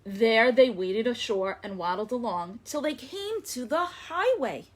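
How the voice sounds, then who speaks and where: A woman reading a story aloud to young children as a librarian, expressive and emphatic, lingering on words. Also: fairly high pitch, a little slow.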